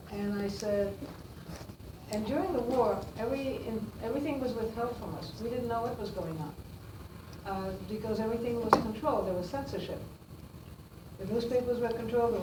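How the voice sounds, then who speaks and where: A woman's voice: mid-range voice, roomy sound. Older Austrian woman, distant in a noisy room. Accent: Austrian German. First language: German. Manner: halting, many fillers and restarts. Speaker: guest speaker to students. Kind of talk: classroom talk.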